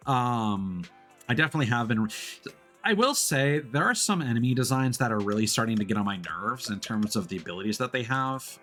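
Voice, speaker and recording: A man with a fairly low voice talks casually through a gaming livestream. He thinks aloud in halting phrases, with clear music playing behind him. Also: a bit bright. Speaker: livestreamer, gamer. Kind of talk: casual gaming stream commentary.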